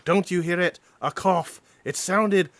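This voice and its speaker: A man's voice: high voice, a little slow, fairly bright tone, dry recording. A man voicing a jumpy male sailor who keeps insisting he hears a noise below deck, urgent and insistent.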